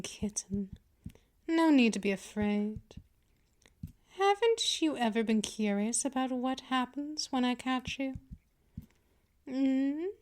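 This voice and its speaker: A woman playing a dominant, patient female vampire mistress in an ASMR roleplay, teasing, menacing and condescending in a deliberate delivery. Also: sits high, a little mellow, exaggerated voice. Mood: mocking, amused. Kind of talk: ASMR roleplay, scripted monologue.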